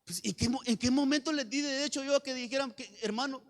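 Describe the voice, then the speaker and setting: A man's voice: very high-pitched, fast talker. A man with a Honduran Spanish accent preaching an evangelical sermon, exhorting listeners directly and repeating phrases for emphasis. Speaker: pastor. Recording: long hall reverb.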